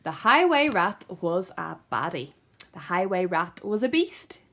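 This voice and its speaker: A woman reading aloud to young children as an expressive picture-book storyteller. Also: lowish voice, measured pace.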